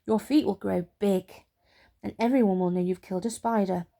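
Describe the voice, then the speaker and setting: A woman's voice: medium pitch, moderate pace, gravelly, mellow, lightly acted. A woman voicing a naughty young girl in a storybook who teases with mock shock and solemn concern. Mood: sly teasing.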